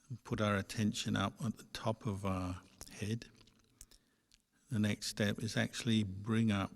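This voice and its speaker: A man guides a meditation as a monk would, in a low, very even voice. He speaks slowly and gently, leaving pauses between short phrases. The sound carries a long hall reverb. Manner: measured, gentle instructing with pauses.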